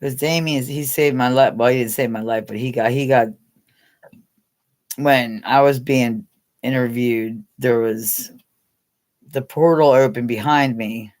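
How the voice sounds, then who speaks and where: A woman's voice: a bass voice, unhurried, pauses often, darkish tone. A middle-aged American woman hosting a casual live chat, rambling, laughing often and calling out to her viewers by name. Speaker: livestream host.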